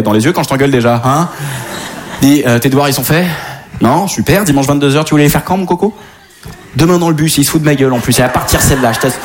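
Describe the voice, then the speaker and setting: A man's voice: medium pitch, slight background noise. Man doing a mock-lecturing comedy routine. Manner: mock-documentary narration, mock-lecturing. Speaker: stand-up comedian.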